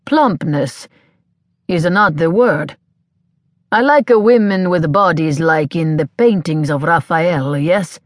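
A woman voicing a brash, flirtatious man who speaks slow, halting broken English. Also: lowish voice, expressive, no room sound, clean studio sound. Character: brash flirtatious Italian man, self-assured.

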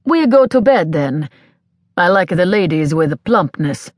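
A woman voicing a brash, flirtatious man who speaks slow, halting broken English. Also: lowish voice, sing-song, no echo, clean studio sound. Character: brash flirtatious Italian man, self-assured.

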